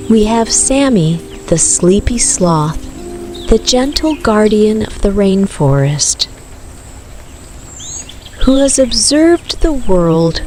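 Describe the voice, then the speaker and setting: A woman narrating a scripted bedtime story for toddlers in a soothing, gentle, unhurried manner. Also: medium pitch. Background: music with rainforest night sounds of rain, crickets and frogs.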